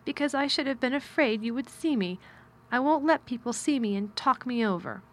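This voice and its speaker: A sickly young boy, voiced by a woman in a storybook reading. He speaks in a raised pitch, plaintive and peevish, fretting and feeling sorry for himself. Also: moderate pace, close to an everyday voice. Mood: fretful, gloomy. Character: sickly, fretful, self-pitying young boy. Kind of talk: audiobook character dialogue.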